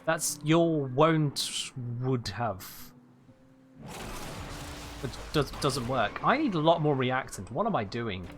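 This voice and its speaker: A man's voice: medium pitch, big pitch swings, takes it slowly, slight background noise. A British man chatting casually while he livestreams a video game, rambling and correcting himself as he goes. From United Kingdom. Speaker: livestream gamer. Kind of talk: casual chat while gaming.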